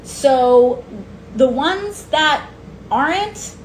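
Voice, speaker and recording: A woman's voice: sits high, expressive, extremely slow. A woman giving informal political talk in an emphatic manner, in an echoing room. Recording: echoey room, faint background noise.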